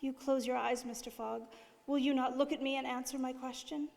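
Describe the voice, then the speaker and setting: A high, gentle voice carries through a reverberant hall: a devoted young princess played by a woman. She speaks formally and earnestly, pleading with grateful devotion in radio-play style. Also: steady tone, fairly quick, vocal fry. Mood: tender. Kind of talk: stage radio drama acting.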